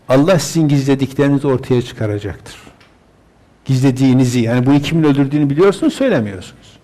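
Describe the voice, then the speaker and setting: A man's voice: mid-range voice, darkish tone. A man, a religious scholar, reciting scripture verses and then explaining them in plain terms.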